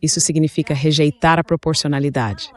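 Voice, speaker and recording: A man's voice: on the high side, fairly even intonation, a little fast. Man hosting political talk, synthetic dub. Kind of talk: dubbed translation of political commentary.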